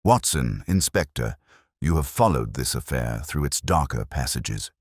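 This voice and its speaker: A man voicing a grave, confident gentleman detective in a storybook, with measured, formal, authoritative speech. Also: extremely low pitch, lively intonation, slightly rough, no room sound, studio quality, voice pushed down, full character voice. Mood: assured, explanatory. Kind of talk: audiobook character dialogue.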